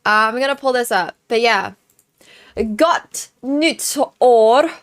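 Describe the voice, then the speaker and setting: Chatty young woman streamer, fairly high voice. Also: lively intonation, slow pace. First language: Chinese. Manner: chatty, thanks viewers, tries greetings in many languages. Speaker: livestream host. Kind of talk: casual live-stream chat. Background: faint background music.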